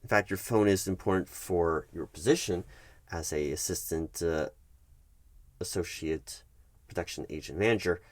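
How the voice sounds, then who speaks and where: A man with a very low, dry, close-miked voice plays a corporate HR manager in an ASMR roleplay. He speaks softly and formally, with hesitant, measured phrasing. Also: no echo. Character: polite, evasive corporate HR recruiting manager. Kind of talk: soft-spoken ASMR roleplay, one-sided workplace meeting.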